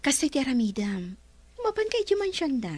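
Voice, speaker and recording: A woman's voice: high voice, very animated, normal speed, darkish tone, no room sound. A woman playing an inquisitive friend giving advice in a radio drama.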